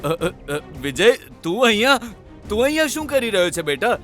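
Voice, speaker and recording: A man playing a scheming fake guru in a children's cartoon, with drawn-out phrases and feigned piety. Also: high voice, very animated, fairly bright tone, faint music behind. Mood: flustered. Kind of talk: Gujarati children's cartoon voice acting.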